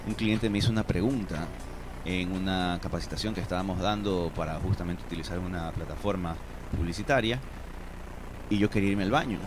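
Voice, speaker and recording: A man's voice: deep voice, background noise. A man in his thirties chatting as a guest on a radio show, explaining things in a conversational way. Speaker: stand-up comedian. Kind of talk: conversational interview talk. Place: small radio studio. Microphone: studio microphone on boom arm.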